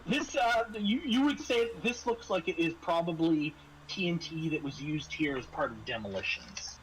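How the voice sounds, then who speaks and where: A man narrating a tabletop role-playing game as game master, halting and searching for words. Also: on the high side, animated, slight background noise, low-quality recording.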